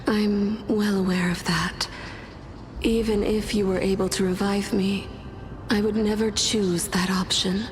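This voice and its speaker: A woman playing a courteous, world-weary dead scholar in a fantasy game, with a breathy, resigned and philosophical delivery. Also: medium pitch, fairly even intonation, takes it slowly, long pauses, a little hiss or hum, close to an everyday voice. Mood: bitter, weary. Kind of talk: dubbed fantasy game dialogue. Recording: orchestral game music behind.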